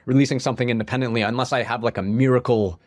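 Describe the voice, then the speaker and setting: Young man, musician guest, rapid rambling talk, sniffly, lots of fillers and restarts, dead dry close mic. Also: medium pitch, fairly even intonation, no echo. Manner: rambling, heavy use of "like", frequent restarts. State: sniffly from a cold. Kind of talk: casual podcast conversation.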